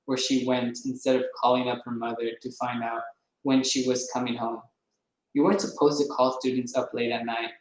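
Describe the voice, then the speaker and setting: Man reading a novel aloud, echoey. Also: mid-range voice. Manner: stumbles and corrects himself. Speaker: reader. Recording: roomy echo.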